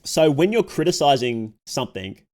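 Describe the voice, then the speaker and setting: Male livestream reactor, medium pitch. Casual, unscripted commentary, often questioning himself and reasoning things through aloud. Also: very animated, normal speed, a little mellow. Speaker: streamer reacting to horror videos.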